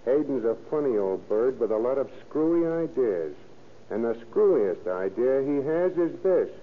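A man's voice: mid-range voice, sing-song, moderate pace. Slangy tough guy, vintage radio sound. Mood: eager, insistent. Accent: American. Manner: emphatic, slangy, streetwise delivery. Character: slangy, scheming tough guy, smooth and persistent. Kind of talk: 1940s radio acting. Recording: scratchy, narrow-band old radio recording.

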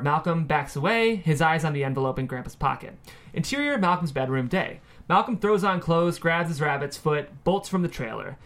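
Higher-voiced man narrating a screenplay. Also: a bit bright. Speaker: stage-direction narrator. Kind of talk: scripted narration of a screenplay table read.

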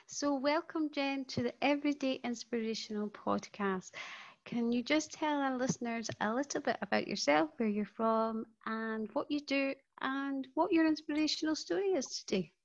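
A woman's voice: sits high, normal speed, dull audio. A woman hosting a podcast, introducing and interviewing a guest in an encouraging way. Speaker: podcast host.

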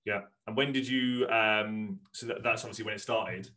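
A man hosting a podcast interview, asking his questions haltingly and restarting them, with frequent short agreements. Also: on the low side, steady tone, sharp tone. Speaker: podcast host. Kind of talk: casual interview conversation.

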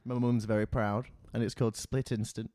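A man's voice: on the low side, little pitch movement, a little fast, dark tone, dry recording, clean studio sound. A British man in his late twenties, co-hosting a podcast with casual comedic banter. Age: late 20s. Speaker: podcast co-host.

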